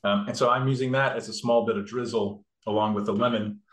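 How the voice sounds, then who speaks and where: A man's voice: fairly low pitch, steady tone, fast. A man demonstrating a recipe in a kitchen, explaining each step casually with many fillers and restarts. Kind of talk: casual cooking demo. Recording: echoey room.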